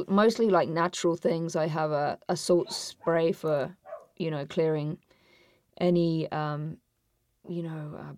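A woman with a husky, low-toned voice, answering interview questions as a voice actor guest, thoughtful and often restarting her sentences. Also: a little mellow, dry recording.

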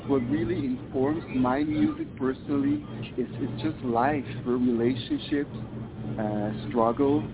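A man's voice: medium pitch, animated, unhurried, creaky, crowd noise. A man from Switzerland, a musician answering interview questions in a reflective, hesitant way and often repeating words. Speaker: musician guest. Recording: tinny phone line with noise.

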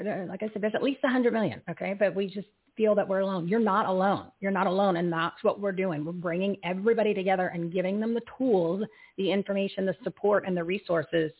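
A woman's voice: mid-range voice, slightly rough. A woman hosting a live radio talk show, rambling as she loses her train of thought and laughing at herself. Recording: tinny phone-like line.

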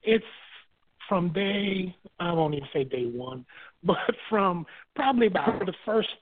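A man from Barbados, a guest and author, telling his life story in a relaxed way, repeating words as he thinks and giving homely examples. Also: high voice, measured pace. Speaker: guest, author and marriage minister. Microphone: phone. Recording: tinny phone line.

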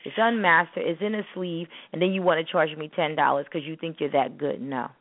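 A woman's voice: low voice, steady tone, fairly quick, dry recording. A woman speaking in an emphatic, instructive way in an opinionated talk show discussion, repeating phrases for stress. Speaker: co-host of a spoken word business show; spoken word artist. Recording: muffled narrowband phone-line sound.

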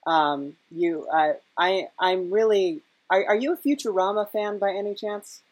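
A woman's voice: mid-range voice, no room sound. A woman hosting a casual podcast interview, feeling her way through questions with many false starts. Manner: halting, hesitant phrasing with repeated false starts.

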